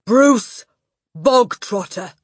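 A woman voicing a brutal, tyrannical female headmistress who shouts slowly and booms. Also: animated, slightly rough, no room sound, studio quality, full character voice. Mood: barking. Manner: shouting, slow and booming.

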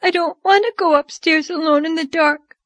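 A woman's voice: very high voice, fairly even intonation, normal speed, a bit bright, no echo, voice pushed up. A woman voicing a timid, frightened little girl who is crying as she talks, in a storybook reading. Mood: frightened, tearful. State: crying, sobbing.

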